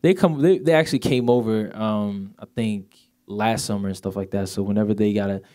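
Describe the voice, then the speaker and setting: Guest singer, Swedish-born man in his 20s, low voice, light accent, easygoing and filler-heavy. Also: a little fast, mellow. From Sweden. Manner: laid-back, many fillers like "you know". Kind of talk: casual interview chat.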